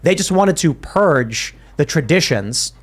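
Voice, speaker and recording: Animated man hosting a panel talk. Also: a little high, a little slow, slightly rough. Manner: emphatic, animated, with frequent restarts. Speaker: podcast host.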